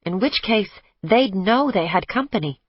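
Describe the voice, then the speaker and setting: A woman voicing a level-headed man reasoning through a case, with a lowered, even voice. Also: normal speed, breathy edge, no room sound, muffled recording. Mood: calm.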